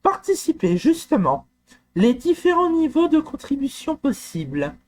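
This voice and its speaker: A man from France talking through a software walkthrough, hesitant with frequent fillers and restarts. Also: very high-pitched, huge pitch swings, unhurried. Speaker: software tutorial presenter. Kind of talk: screen-recorded walkthrough.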